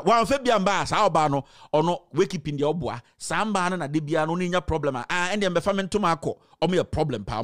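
Fairly high-voiced Ghanaian man giving advice. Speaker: commentator. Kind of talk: opinionated advice monologue. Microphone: close studio microphone.

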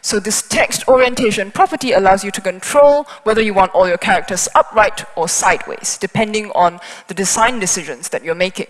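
A woman's voice: mid-range voice, brisk, few pauses. A woman whose first language is Chinese, giving a technical talk on stage at a conference with a headset mic, explaining things step by step with small asides. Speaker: conference speaker. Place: large conference hall on stage. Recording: hall reverb.

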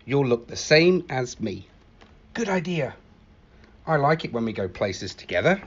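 A man reading a picture book aloud in an expressive, animated manner, acting out the exclamations. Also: medium pitch, unhurried, slightly rough, dull audio. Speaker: library staff story reader.

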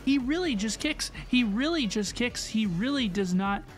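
A woman giving casual, excited reaction commentary as a video host, exclamatory and slangy, often repeating herself. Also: a little high, moderate pace, faint music behind.

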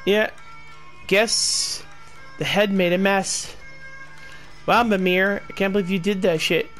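A man's voice: sits high, steady tone, slow pace, a bit bright, faint music behind. A man giving rambling, casual commentary while playing a game. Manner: rambling, talks to himself. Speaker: gameplay streamer.

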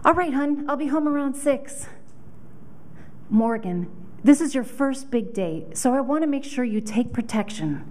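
A woman playing a harried, casually sinister deputy mayor mom in a deadpan, matter-of-fact comedy monologue. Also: sits high, unhurried. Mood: brisk, dry. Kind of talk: audition comedy monologue read. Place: hotel conference room, at a standing mic.

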